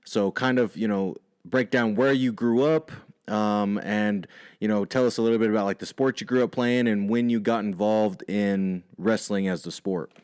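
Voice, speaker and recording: A man hosts a podcast in an easy, friendly way, with a somewhat low voice. He rambles and circles back with lots of fillers. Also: steady tone, moderate pace, a little mellow, clear, resonant tone. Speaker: podcast host. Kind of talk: casual interview hosting.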